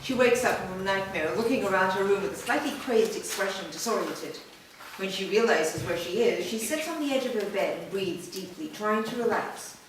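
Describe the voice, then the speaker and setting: A woman reads stage directions in a plain, even way. She is far from the mic in a very echoey space with background noise. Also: mid-range voice, normal speed. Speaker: narrator reading stage directions. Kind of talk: staged reading.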